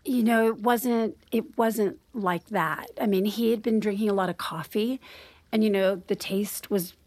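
A woman's voice: mid-range voice, measured pace, a little mellow, close to an everyday voice. An actress playing a flustered, defensive woman in an audio drama, speaking hesitantly and apologetically. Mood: defensive, exasperated. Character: Diana, flustered, defensive unhappy working woman. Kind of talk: naturalistic audio drama acting.

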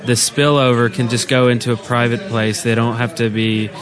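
A man's voice: medium pitch, flat delivery, moderate pace, crowd noise. A man explaining things casually as a podcast co-host. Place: indoors at a LAN party.

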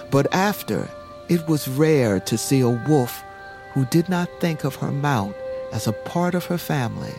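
A man narrating an audio drama legend in a solemn, measured, reverent way. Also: mid-range voice, a little mellow. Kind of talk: slow scripted storytelling narration for an audio drama. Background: music.

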